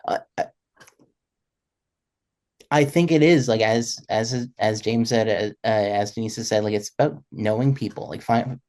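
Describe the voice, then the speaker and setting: Thoughtful, halting man on a panel. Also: on the low side, a little mellow. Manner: halting, repeats words while thinking. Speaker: panelist who presents music. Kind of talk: casual, reflective discussion.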